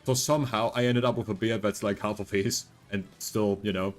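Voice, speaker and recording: A man in his twenties with a deep voice, streaming a game and rambling casually, reassuring his chat with lots of fillers. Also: fairly even intonation, brisk, faint music behind. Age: 20s.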